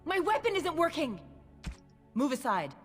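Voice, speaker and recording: A woman's voice: high-pitched, sing-song, unhurried, faint music behind. A woman voicing a young female elf mage in short video game lines. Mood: brisk.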